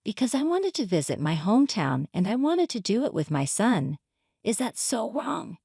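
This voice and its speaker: A woman's voice: a little high, big pitch swings, moderate pace, gravelly, warm tone, breathy edge, dry recording, studio quality, exaggerated voice. Smug older mother-in-law, voiced by a woman narrator. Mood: dismissive, spiteful. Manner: condescending, dismissive. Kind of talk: dramatized story reading.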